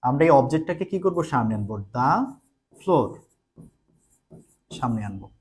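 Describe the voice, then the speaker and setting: A man's voice: mid-range voice, lively intonation, moderate pace, darkish tone, slightly rough. A man with a Bangladeshi accent teaching live online, explaining step by step with repetition and check questions, mixing in English terms. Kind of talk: live online grammar lesson.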